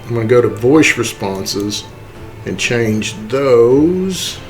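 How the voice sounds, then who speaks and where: A man giving a casual, step-by-step software walkthrough, informally checking in with okay and right as he goes. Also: medium pitch, expressive, slow pace, soft background music. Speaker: tutorial presenter. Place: indoors, voice-over for a screen recording.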